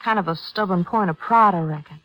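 A woman's voice: lowish voice, fairly quick, fairly bright tone, close to an everyday voice, rough recording. A woman playing a worried, worn-out young wife and mother, hesitant and apologetic, in a 1950s radio drama. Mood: anxious, downcast. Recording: old radio recording.

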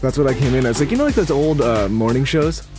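Man co-host, mid pitch, teasing banter, podcast chat. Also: sing-song, quick pace, over music. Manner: upbeat, teasing. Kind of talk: casual banter with his wife.

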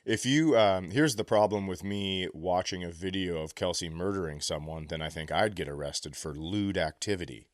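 A man with a deep voice banters as a comedy podcast co-host. His delivery is conversational and halting, slipping into imagined bits of dialogue. The recording is dry, with no audible room. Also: no echo. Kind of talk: casual comic banter.

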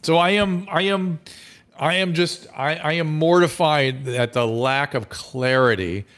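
A man's voice: a little high, measured pace, fairly bright tone. A middle-aged man, a physician hosting a live call-in show, talking earnestly and emphatically with frequent restarts. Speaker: physician talk show host.

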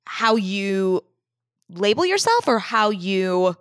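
A woman's voice: mid-range voice, very animated, takes it slowly, no echo, clean studio sound. A woman hosting a podcast, asking long, winding, earnest questions. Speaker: podcast host. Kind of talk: interview questions.

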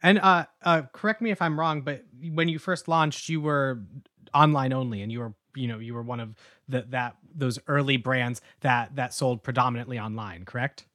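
A man's voice: medium pitch, fairly even intonation, normal speed, fairly bright tone. A man hosting a podcast interview, upbeat and friendly, asking questions with a few fillers. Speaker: podcast host, editor-in-chief.

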